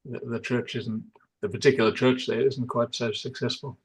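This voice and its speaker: Male host, husky tone, easygoing moderator. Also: mid-range voice, flat delivery, normal speed, rough recording. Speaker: meeting host. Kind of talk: casual discussion.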